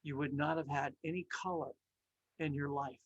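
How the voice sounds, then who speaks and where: A woman voicing a calm, patient male master potter in a storybook tale, speaking in a quiet, measured, reassuring way. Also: sits low, husky, dry recording, lo-fi sound, voice pushed down.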